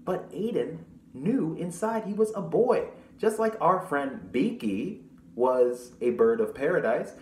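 A young man reading a picture book aloud to children, pausing to explain things simply and ask questions. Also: a little high, lively intonation, darkish tone. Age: young adult. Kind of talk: storytime read-aloud with explanatory asides. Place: small room at home, indoors. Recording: roomy echo with clear background noise.

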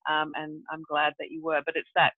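A woman's voice: deep voice, completely flat delivery, a little fast, no echo. A woman who grew up in Brazil and South Africa, thinking aloud as a guest on a video call, with many fillers. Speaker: guest, regenerative development practitioner. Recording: tinny phone-like dry audio.

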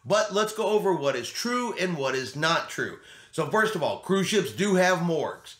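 A man's voice: sits high, very animated, normal speed, fairly bright tone. A middle-aged man explaining things to camera in an emphatic way, repeating his key points as a vlog host. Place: small home studio room, indoors. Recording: roomy indoor echo.

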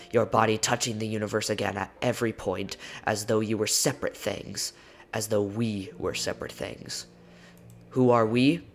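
Male narrator, lower register, theatrical dramatic poetry reading with deliberate pauses, music underneath. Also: creaky, fairly bright tone. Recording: music behind the voice.

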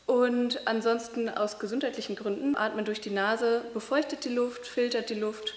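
A young woman with a fairly high voice explains breathing and voice exercises, stopping now and then with fillers. She stands in a large hall with a wooden floor, and the room gives her voice a clear echo. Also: steady tone, moderate pace. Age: young adult. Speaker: voice training student. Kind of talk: demonstrating voice exercises. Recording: echoey hall.

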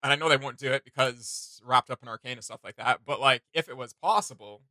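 A man in his thirties hosts a casual reaction video. His voice is mid-pitched and bright, recorded dry. He talks in a rambling, slangy way with plenty of emphasis. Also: a little fast, no echo. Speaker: reaction channel host. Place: small indoor home studio room.

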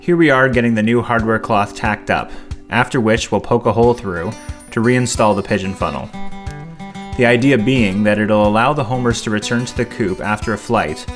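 A man from Canada giving a measured, reflective scripted narration as a homesteader. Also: lowish voice, faint music behind.

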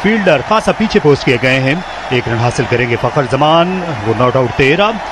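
A man with a Pakistani Urdu accent giving measured, formal ball-by-ball cricket commentary on radio. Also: mid-range voice, animated. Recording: noisy radio broadcast.

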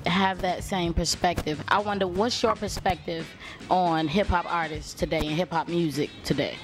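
Woman, young adult, interviewer, friendly, encouraging, handheld mic, music behind. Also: on the low side. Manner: friendly, encouraging, quick affirmations. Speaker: interviewer, show host. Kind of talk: casual interview questions. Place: lounge room on a leather couch.

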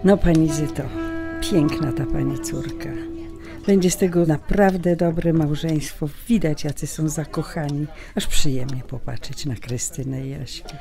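A woman's voice: low voice, fairly even intonation, normal speed, gravelly, over music. An actress playing a polite, wistful emigrant woman with a reflective manner in an audio drama. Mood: kindly chatty. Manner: courteous, reflective.

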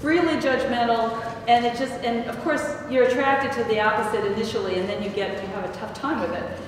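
A woman's voice: on the high side, brisk. Older woman, panel speaker, chatty with restarts, heard from a distance in a big echoey hall with noise. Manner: conversational, restarts and self-corrections. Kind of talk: answering audience questions on a panel. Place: large echoey hall with stone walls. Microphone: table mic, picked up from a distance.

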